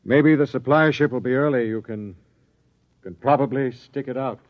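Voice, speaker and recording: A man's voice: medium pitch, moderate pace, dry recording, close to an everyday voice. Man playing a grave, weary expedition captain, vintage radio. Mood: weary, resigned. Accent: American. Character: weary middle-aged male expedition captain, grave and resigned. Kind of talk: 1950s radio drama acting. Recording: dull vintage radio broadcast recording, music.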